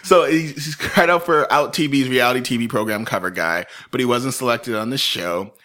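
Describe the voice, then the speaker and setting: Joking man with a bright voice. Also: mid-range voice, normal speed. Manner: joking, laughs often, breaks into bits. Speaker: podcast host. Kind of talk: casual storytelling chat.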